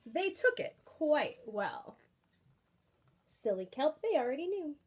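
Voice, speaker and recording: A woman reading a children's picture book aloud expressively, pausing to put questions and asides to young listeners. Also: sits high. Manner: animated, engaging with questions.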